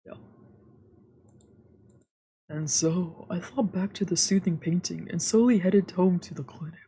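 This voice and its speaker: A woman's voice: on the low side, a little slow, warm tone, breathy edge. A woman streamer reading a visual novel aloud and chatting casually as she plays. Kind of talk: read-aloud narration with casual let's-play chat. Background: faint game music.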